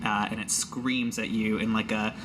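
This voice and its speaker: A man's voice: on the low side, little pitch movement, moderate pace, noisy background. A man running a tabletop fantasy game, narrating the action with casual, playful, vivid description. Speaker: game master.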